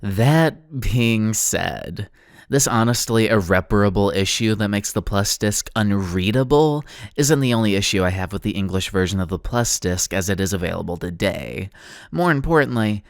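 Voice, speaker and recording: A man with a fairly low voice delivers casual commentary for an online video essay. He sounds sardonic and self-deprecating, speaking informally over soft background music. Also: gravelly, no echo.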